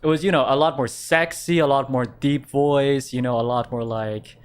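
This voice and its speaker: A young man streaming casual chat in a quiet voice that doesn't project, rambling, repeating himself and laughing often. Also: medium pitch, fairly even intonation, normal speed, smooth. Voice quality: quiet voice that does not project.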